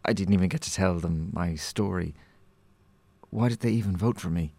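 Casting: a timid, earnest game-show survivor in dark comedy; low-pitched, deferential, dry close recording. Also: male voice, moderate pace, no echo. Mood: meek, uncertain. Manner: hesitant, deferential. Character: meek, hapless male show contestant, earnest and unsure. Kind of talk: darkly comic radio drama acting.

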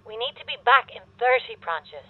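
A woman acting a brisk radio producer in an audio drama, heard through a phone-like intercom filter. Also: on the high side, expressive, breathy edge. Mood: brisk, concerned. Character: brisk female radio producer giving countdown cues. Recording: thin, phone-like intercom line.

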